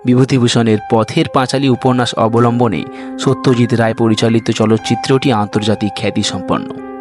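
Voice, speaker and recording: A man's voice: medium pitch, fairly even intonation, normal speed. A man hosting and reading an audio story in a scripted narration style. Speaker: story reader and host. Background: music.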